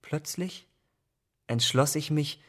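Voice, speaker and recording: A man playing an anxious, remorseful and impulsive young gentleman in a German radio drama. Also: on the high side, slow pace. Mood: earnest, wistful.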